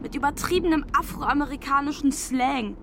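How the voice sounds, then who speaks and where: A woman's voice: high voice, moderate pace, noisy background, close to an everyday voice. An actress playing a defiant, blunt and opinionated young woman in a radio play. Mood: scornful, blunt. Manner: blunt, contradicting, colloquial. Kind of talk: radio play monologue and dialogue.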